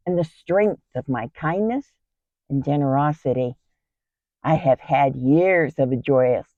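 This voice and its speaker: A woman's voice: low-pitched, dark tone, no echo, muffled recording. A woman playing a kind, brave biblical wife in an earnest, theatrical dramatic monologue. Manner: theatrical, earnest, emphatic storytelling. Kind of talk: dramatic first-person Bible monologue.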